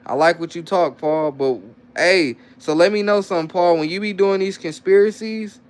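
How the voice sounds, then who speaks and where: A man's voice: high-pitched, normal speed, clear, resonant tone. A young man talking loosely on a livestream, reading chat comments aloud and answering them in rambling slang with lots of restarts. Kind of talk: casual live-stream chat.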